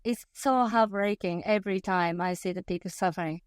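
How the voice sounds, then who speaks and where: A woman's voice: mid-range voice, fairly quick, clear, resonant tone, no echo, studio quality. A woman in her fifties from Japan with a Japanese accent, a passionate interview guest who often laughs and corrects herself mid-sentence. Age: 50s. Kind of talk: conversational interview.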